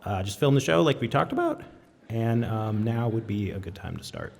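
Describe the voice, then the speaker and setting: A man giving a pre-show announcement with deadpan comic asides. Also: lowish voice, normal speed, creaky, a little hiss or hum. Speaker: announcer opening a live show. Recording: reverberant large hall.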